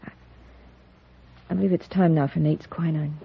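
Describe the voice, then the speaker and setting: Frontier woman doctor, restrained and wistful with some creak, on a thin, phone-like vintage radio recording. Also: low-pitched, fairly even intonation, normal speed, a little hiss or hum. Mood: wistful, tired. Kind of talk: 1950s radio Western acting.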